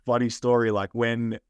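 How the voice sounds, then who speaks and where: A man's voice: mid-range voice, flat delivery, takes it slowly, smooth, no room sound, dull audio. A young adult man with an Australian accent, chatting as a guest in a casual, rambling way with lots of fillers. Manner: laid-back, rambling with fillers. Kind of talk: casual interview.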